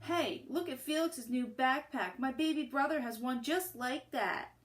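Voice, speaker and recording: A woman's voice: high voice, unhurried, pitched above the performer's own voice. A woman voicing a teasing schoolchild bully in a storybook, mocking and taunting.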